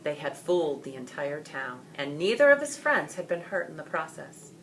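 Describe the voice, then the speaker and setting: A woman reading a story aloud as expressive scripted narration. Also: low-pitched, moderate pace, creaky, slight background noise, low-quality recording. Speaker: storybook reader.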